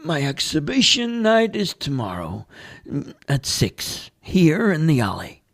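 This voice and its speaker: A man voicing Dale, a homeless street artist who talks earnestly and dreamily, read from a play script with a gravelly edge. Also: fairly high pitch, wildly swooping pitch, measured pace, fairly bright tone, pitched above the performer's own voice, full character voice. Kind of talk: amateur play read aloud.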